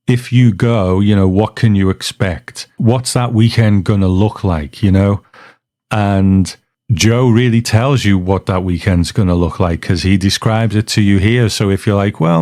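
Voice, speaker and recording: Low-pitched man hosting a podcast, close dry sound. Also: a little mellow, no echo. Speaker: podcast host. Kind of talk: casual hosting, reflective talk.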